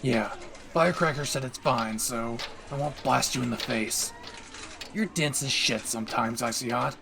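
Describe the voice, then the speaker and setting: A man playing a brash, abrasive young anime pro hero who is secretly doting, gruff and swearing, in an audio roleplay. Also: mid-range voice, moderate pace, noisy background, natural voice. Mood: irritated, teasing. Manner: abrasive, swearing, barking, teasing.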